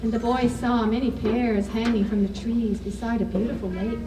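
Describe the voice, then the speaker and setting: A woman telling a folktale to children at a live puppet show, with measured, dramatic phrasing. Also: on the high side, little pitch movement, background noise. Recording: echoey, distant mic, music over voice. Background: ukulele or guitar music, clearly heard.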